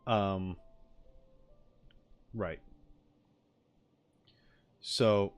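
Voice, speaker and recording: A male streamer with a low voice, chatting casually as he reacts to a game. He speaks slowly and haltingly, thinking out loud, into a close, dry mic. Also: fairly even intonation, smooth, no echo, faint music behind. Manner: musing, halting, thinks aloud. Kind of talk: casual live reaction commentary.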